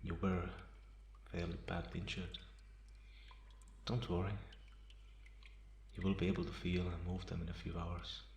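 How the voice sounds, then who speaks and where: A man playing a calm, teasing half-vampire in an ASMR roleplay, pausing often and sounding softly amused and restrained. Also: deep voice, gravelly. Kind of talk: slow ASMR roleplay monologue. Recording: roomy echo, faint background noise.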